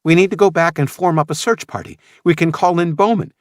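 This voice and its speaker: A man voicing a worried mother in an audiobook, gentle but firm and questioning. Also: fairly quick, no room sound, clean studio sound, natural voice. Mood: alarmed. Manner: gentle, questioning, pitched up when upset.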